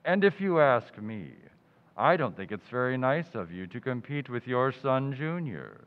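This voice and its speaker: An actor playing a pompous, smug middle-aged undertaker in a 1940s-style radio comedy, drawn-out and punning. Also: male voice, mid-range voice, very animated. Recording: tinny, reverberant lo-fi audio.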